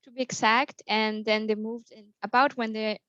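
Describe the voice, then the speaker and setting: A woman's voice: on the high side, little pitch movement, moderate pace, fairly bright tone, clear, resonant tone, dry recording. A young woman from Austria, a guest on an interview, talking fluently and upbeat with many fillers. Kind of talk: casual interview. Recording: slightly dull, lo-fi call audio.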